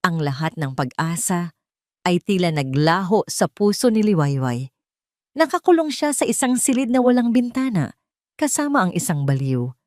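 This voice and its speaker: A woman's voice: mid-range voice, a little mellow, no room sound, clean studio sound. A woman narrator reading a dramatic scripted story.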